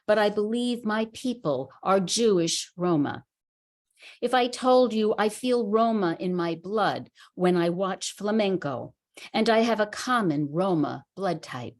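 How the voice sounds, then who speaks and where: Slow, measured woman reading poetry. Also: medium pitch, a little mellow. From United States. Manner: measured, unhurried recitation. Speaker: poet. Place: reading at a writers center.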